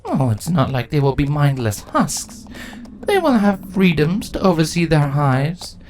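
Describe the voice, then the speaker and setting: A man voicing a ruthless, imperious female changeling insect queen in a story reading, haughty and deliberate in delivery. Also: a little high, very animated, darkish tone, slight background noise. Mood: scheming, assured. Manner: haughty, deliberate, grandly self-justifying.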